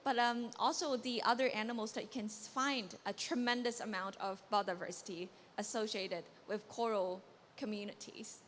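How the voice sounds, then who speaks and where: A woman's voice: medium pitch, fairly even intonation, normal speed, a bit bright. A young woman from Hong Kong, a coral biologist, presenting enthusiastically on a conference stage with a handheld mic. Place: large conference hall on stage. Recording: long hall reverb, faint noise.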